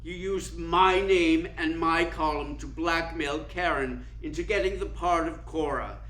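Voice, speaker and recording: An actor playing a suave, cynical and domineering theater critic in a radio play, speaking in a cold, precise, imperious way. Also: male voice, a little high, measured pace, a bit bright. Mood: controlling, smug. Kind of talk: dramatic radio play acting. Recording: roomy echo with clear background noise.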